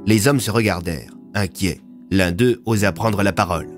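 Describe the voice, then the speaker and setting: Un homme qui raconte une fiction audio, en narration dramatique écrite. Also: male voice, low-pitched, normal speed, soft background music. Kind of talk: scripted dramatic story narration.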